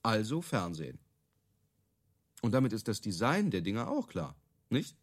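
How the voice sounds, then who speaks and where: A man's voice: fairly low pitch, sing-song, moderate pace. A male actor playing a self-assured, cynical inventor of useless features, explaining himself wryly as an interviewee. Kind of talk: conversational radio drama acting.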